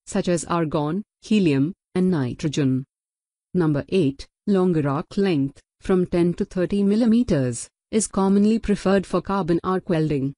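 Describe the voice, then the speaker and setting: A woman narrating a scripted technical lesson as a voice-over, in an even, measured reading. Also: on the low side, dry recording, studio quality. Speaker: lecturer.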